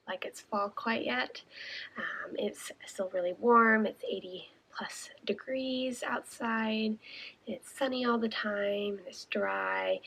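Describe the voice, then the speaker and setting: A young woman talks casually to the camera, her voice husky and a little stuffed up. She chats loosely and fills her pauses with ums and uhs. The tone sits in an everyday middle pitch. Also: a bit bright, poor audio. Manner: chatty, with many ums and uhs. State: congested, a little raspy from smoke. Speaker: podcast host and shepherd.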